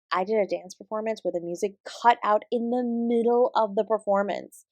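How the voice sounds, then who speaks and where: A woman's voice: mid-range voice, darkish tone, dry recording, natural voice. A woman acting in a roleplay audio drama as an apsara who works as an instructive, composed dance teacher. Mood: confiding, amused. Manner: encouraging, instructive, addressing a class. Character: female apsara dance teacher, warm, encouraging, defensively composed.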